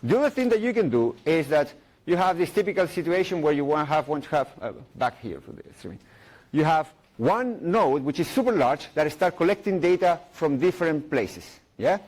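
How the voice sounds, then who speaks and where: A man's voice: on the high side, very animated, moderate pace, a little mellow. Man giving a playful technical talk. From Chile. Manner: playful, jokey, frequent fillers and restarts. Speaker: conference speaker. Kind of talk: live technical talk with audience questions. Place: conference room.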